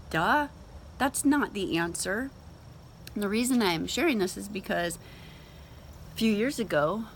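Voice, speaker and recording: A woman's voice: on the low side, slight background noise. A woman giving an informal devotional talk in a conversational, emphatic way, as host of a Bible reading show. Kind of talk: casual devotional talk with scripture read aloud.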